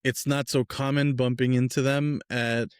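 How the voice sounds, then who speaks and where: A man from Peru hosting a casual podcast interview, relaxed and upbeat, talking loosely with fillers. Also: medium pitch, normal speed, clean tone, no echo, clean studio sound. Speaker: podcast host.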